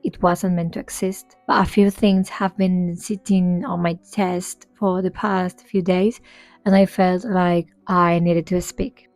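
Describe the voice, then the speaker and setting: A young woman from Spain, whose first language is Spanish, talking in English in a soft-spoken, candid and reflective way to her camera. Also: mid-range voice, dry recording. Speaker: vlogger and independent singer. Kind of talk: improvised personal talk to camera.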